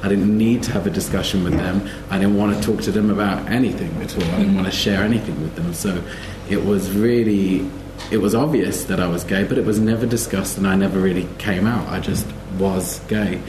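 A man with a deep voice shares a personal account as a panelist. He speaks somewhat haltingly. The room rings around him and there is clear background noise. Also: fairly even intonation, roomy sound. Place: live festival panel event.

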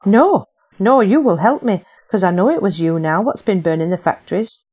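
A woman's voice: mid-range voice, expressive, normal speed, darkish tone, husky. A woman voicing a desperate young working woman searching for her brothers in an audiobook. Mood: pleading. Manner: pleading, urgent.

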